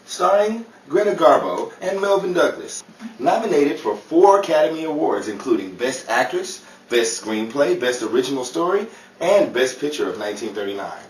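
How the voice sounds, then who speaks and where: A man's voice: a little high, big pitch swings, moderate pace. A man playing a jokey, theatrical emcee in a 1930s-style radio comedy show. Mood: announcing. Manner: playful, theatrical. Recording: roomy, distant-mic recording with clear noise.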